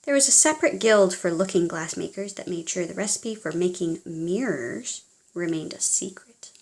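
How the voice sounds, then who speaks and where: A woman reading a children's storybook aloud in a theatrical, expressive way, as if speaking directly to young listeners. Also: fairly low pitch, moderate pace, vocal fry. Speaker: children's audiobook reader.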